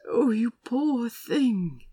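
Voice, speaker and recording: A woman playing a gentle, fussy, kindly man in an audio story, proper and a little flustered. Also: fairly high pitch, very animated, extremely slow, breathy edge, no echo, full character voice. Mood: tender, soothing. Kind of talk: audio drama acting in fan fiction.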